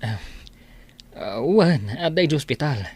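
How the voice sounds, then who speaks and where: A man playing a concerned friend who speaks hesitantly and gently in a radio drama. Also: on the high side, lively intonation, breathy edge, no room sound. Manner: hesitant, halting. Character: hesitant, concerned male friend breaking bad news gently.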